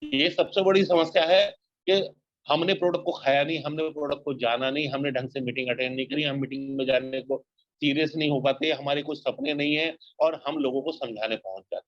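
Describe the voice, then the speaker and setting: A man's voice: mid-range voice, fairly even intonation, a little fast, no room sound. A man giving an earnest motivational talk on network marketing, exhorting listeners and repeating his points. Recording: dull lo-fi, dry sound.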